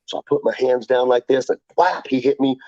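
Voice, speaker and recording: Excitable rambling man, dark dull sound. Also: mid-range voice, brisk, no echo. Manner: rambling, excitable, swears freely, acts out quotes. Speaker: guest fighter. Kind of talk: casual podcast chat.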